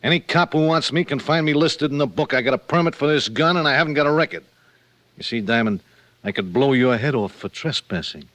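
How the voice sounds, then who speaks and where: A man's voice: mid-range voice, animated, brisk, fairly bright tone, dry recording, lightly acted. An actor playing a menacing, sardonic gunman in 1940s radio crime drama, clipped and sarcastic. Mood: cool menace. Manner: hard-boiled, clipped, sarcastic.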